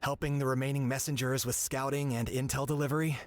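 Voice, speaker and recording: A man's voice: mid-range voice, level tone, fast talker, close to an everyday voice. A man playing a friendly young warrior in a video game, earnest and a little rueful.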